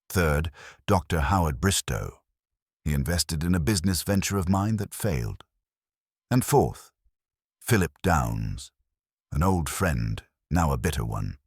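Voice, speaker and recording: A man voicing an anxious, guarded high-society woman in an audiobook mystery, speaking earnestly and formally with a faltering manner. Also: no echo, clean studio sound, heavily acted.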